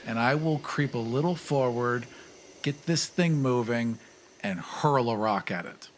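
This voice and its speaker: A man's voice: medium pitch, measured pace, noisy background. A man playing a tabletop game, casually improvising his descriptions as he thinks aloud.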